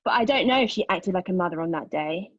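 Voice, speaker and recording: Actress doing husky bitter pregnant woman in a stage monologue, intense, exposed, dry close sound but kinda dull. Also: lowish voice, animated, no room sound. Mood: pained, reflective. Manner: intense, deliberate, emotionally exposed delivery. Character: anguished, bitter, sardonic pregnant woman telling her story. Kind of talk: dramatic monologue at a table read.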